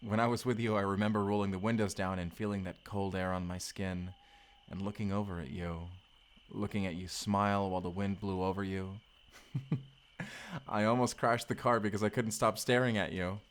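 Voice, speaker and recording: A man's voice: on the low side, steady tone, normal speed, natural voice. A man playing a tender, boyish husband from the future in an intimate, bashful, rambling romantic audio roleplay. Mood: tender, nostalgic. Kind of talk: romantic audio roleplay acting, addressed to listener.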